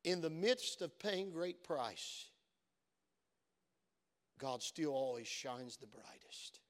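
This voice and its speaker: A man's voice: a little high, expressive, slow. Earnest preacher man in a reverberant hall. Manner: earnest storytelling that builds to fervent preaching. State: voice worn from many phone calls. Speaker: pastor. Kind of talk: sermon. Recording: long reverb of a church hall.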